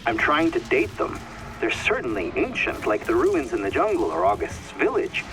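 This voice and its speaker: An actor playing a learned, curious scholar of ancient ruins, with precise formal phrasing, in a video game. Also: male voice, mid-range voice, sing-song, normal speed, far from the mic, background noise, close to an everyday voice. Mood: curious, marvelling. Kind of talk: video game cutscene acting.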